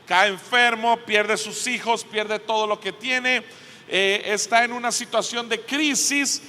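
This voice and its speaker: A male preacher with a Costa Rican accent delivers a sermon in a high, bright, forceful voice. He speaks emphatically and leaves deliberate pauses. His words ring with the long reverb of a large hall. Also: fairly even intonation. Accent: Costa Rican Spanish. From Costa Rica. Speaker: guest preacher at a church conference. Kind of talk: sermon with scripture reading and prayer. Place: large indoor church conference hall.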